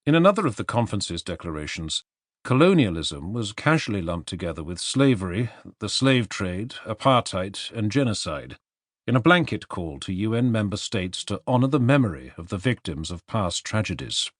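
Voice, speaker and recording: A man reading a non-fiction audiobook in a measured, articulate and emphatic way. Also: on the low side, sing-song, dry recording, studio quality. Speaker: audiobook narrator. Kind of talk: scripted narration of non-fiction.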